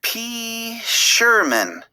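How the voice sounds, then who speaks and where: A woman's voice: fairly high pitch, huge pitch swings, very slow, bright, forceful tone, no room sound, pitched above the performer's own voice, full character voice, rough recording. A woman voicing a friendly, forgetful female fish in a storybook, chirpy and artless. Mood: slow, puzzling out.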